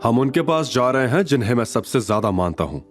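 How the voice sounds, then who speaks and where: A man voicing a calm young chairman in an audio drama, composed, polite and authoritative. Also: medium pitch, fairly quick, soft background music, close to an everyday voice. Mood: calm reassurance. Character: calm, commanding young male chairman hiding his identity. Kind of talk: Hindi audio drama acting.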